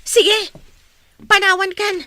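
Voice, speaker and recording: A woman acting a very high-voiced, prickly young student. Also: fairly even intonation, takes it slowly, pushed and bright, heavily acted. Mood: indignant. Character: proud, prickly young female student, easily offended. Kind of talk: radio-style audio drama acting.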